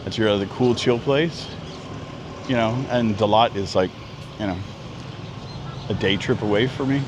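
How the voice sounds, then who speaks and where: A man's voice: lowish voice, moderate pace. Rambling man in his fifties outdoors. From Canada. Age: 50s. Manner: rambling, chatty, many ums and restarts. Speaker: travel vlogger. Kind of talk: casual live-stream vlog. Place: outdoors at a busy market street. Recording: street traffic noise outdoors. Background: traffic and street noise.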